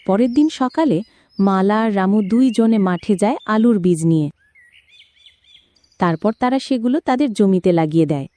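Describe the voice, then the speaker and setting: A woman's voice: medium pitch, expressive, normal speed, pauses often, dark tone. A woman narrating a cartoon folk story in a scripted storybook style.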